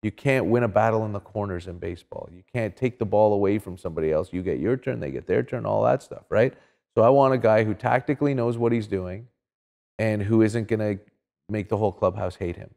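Male sports pundit, middle-aged, dark relaxed tone, emphatic, reverberant room. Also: on the low side, fairly quick, vocal fry. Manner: emphatic, checks in with "right?". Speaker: sports commentator guest. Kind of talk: casual opinionated sports talk. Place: small indoor studio set with couches.

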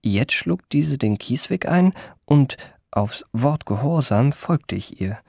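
An actor playing a worldly, amorous memoirist narrating a radio drama, husky and gravelly, with ornate, savouring delivery. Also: male voice, mid-range voice, animated, takes it slowly, darkish tone, no room sound, clean studio sound, full character voice. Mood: measured recounting. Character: worldly, amorous male memoirist recalling his adventures.

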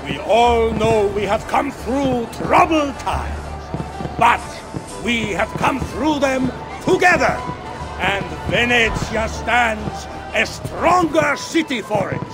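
A man's voice: extremely high pitch, lively intonation, slow pace, heavily acted. An actor playing a grandiose Venetian nobleman, declaiming a pompous public speech in a video game. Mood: solemn, orating. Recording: voice under loud game music.

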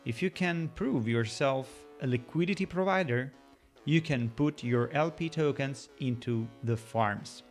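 A man narrates a screen-recorded tutorial in a measured, explanatory way. His voice sits at an ordinary middle pitch. Soft guitar music plays faintly underneath. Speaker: crypto channel host. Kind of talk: scripted voice-over explainer with screen walkthrough. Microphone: voice-over over screen recording.

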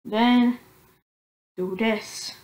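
Woman, very slow, halting, self-correcting, tutorial narration. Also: a little high, steady tone, a little mellow. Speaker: tutorial maker. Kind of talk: screen-recorded software tutorial.

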